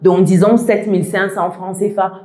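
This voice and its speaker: A woman vlogger speaking with a diaspora accent, explaining things in a measured, methodical way, with many hesitant pauses. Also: medium pitch, steady tone, darkish tone, roomy sound. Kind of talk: explaining to camera.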